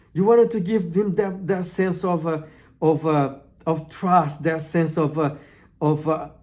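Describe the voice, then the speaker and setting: A man's voice: sits high, darkish tone. A man with a Brazilian accent hosting his own channel, giving earnest advice in a hesitant way, often repeating and restarting words. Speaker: channel host. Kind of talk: informal talk to camera.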